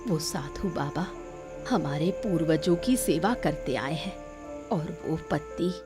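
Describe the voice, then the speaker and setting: A woman plays a wise old mother from a village cartoon tale. She explains patiently in a lowish, very slow voice, with prominent music underneath. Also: animated. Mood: calm, revealing. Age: older. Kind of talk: scripted cartoon story acting.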